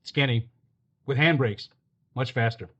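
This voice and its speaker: An actor playing a terse police detective who narrates the case in a clipped, matter-of-fact way. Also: male voice, mid-range voice, unhurried, fairly bright tone, lo-fi sound. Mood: deadpan.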